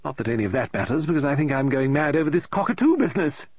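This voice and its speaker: A man's voice: on the high side, sing-song, racing, husky, no room sound, voice pushed up, exaggerated voice. A man voicing a scatterbrained middle-aged woman novelist in an audiobook, fretful and muttering, exclaiming in despair. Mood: fretful, scattered. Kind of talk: audiobook character voice.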